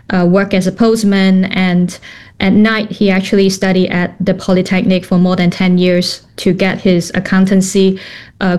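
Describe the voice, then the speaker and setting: A woman's voice: mid-range voice, darkish tone. Thoughtful woman founder from Hong Kong. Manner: thoughtful, many fillers and restarts. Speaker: company founder. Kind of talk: interview answers.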